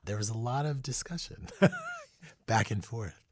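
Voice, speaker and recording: A man's voice: mid-range voice, huge pitch swings, measured pace, slight background noise. A man speaking as a guest author in an interview, telling casual stories and acting out bits of what was said. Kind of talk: casual conversational storytelling.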